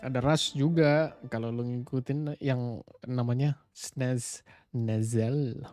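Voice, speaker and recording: Indonesian-accented man as a casual game streamer: soft-edged mellow voice, close mic, music underneath. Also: medium pitch, fairly even intonation, takes it slowly, no echo. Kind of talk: casual live-stream commentary, echoing game dialogue.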